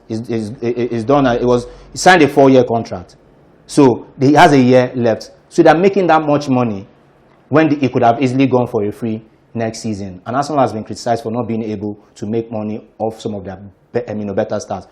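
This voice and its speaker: A man's voice: medium pitch, darkish tone. A man giving assertive, explanatory football analysis on a sports talk show.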